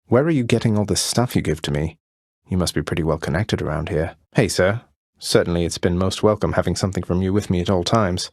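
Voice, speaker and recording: An actor playing a wry young prince of the underworld in a video game, courteous with a dry, understated sarcasm. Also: male voice, low-pitched, dry recording. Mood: sincere, grateful. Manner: dry, understated, politely sardonic. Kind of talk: video game character voice lines.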